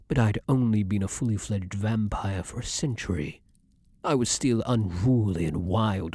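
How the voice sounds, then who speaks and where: A man playing a centuries-old vampire king, haughty yet secretly romantic, speaking in formal, archaic phrasing with dramatic pauses in an intimate ASMR roleplay. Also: lowish voice, a little mellow, breathy edge, no echo, clean studio sound.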